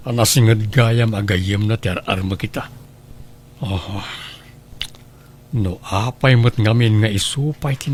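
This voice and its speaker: A man's voice: mid-range voice, slight background noise. A man acting an evasive, smitten middle-aged father in a radio drama, with a coaxing manner. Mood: flustered.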